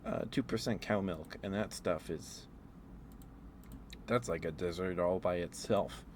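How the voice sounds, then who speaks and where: A man with a very low voice talks in a rambling ASMR style, as a livestreamer would. He muses aloud in a halting way, with faint steady noise behind him. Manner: halting, musing, thinking aloud.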